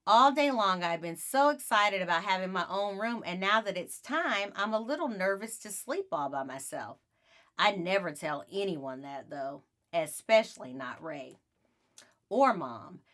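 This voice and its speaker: A woman narrating a children's book aloud in an expressive storytelling style. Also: medium pitch, measured pace.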